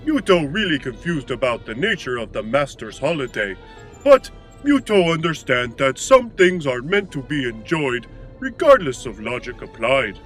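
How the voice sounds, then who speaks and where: Man voicing a childlike, lively confused clone creature. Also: mid-range voice, normal speed, faint music behind. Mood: puzzled, earnest. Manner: refers to itself in third person, stilted broken grammar. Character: childlike, confused, sensitive cloned creature speaking broken English. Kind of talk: audio comedy drama.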